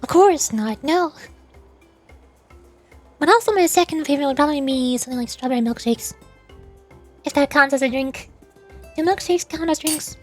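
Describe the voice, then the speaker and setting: A woman's voice: sits high, normal speed, pauses often. A woman streaming a game, chatting casually with viewers in a playful, rambling, teasing way. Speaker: livestreamer playing a game, reading dialogue aloud. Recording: music playing behind the voice. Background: music.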